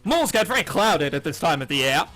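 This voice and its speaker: A high-voiced man with a bright, forceful tone narrates a visual novel let's play. His delivery swings widely and is sarcastic and sweary, as he reads the dialogue and comments on it. Game music plays behind him. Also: very animated, fairly quick. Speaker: gaming YouTuber. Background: game background music.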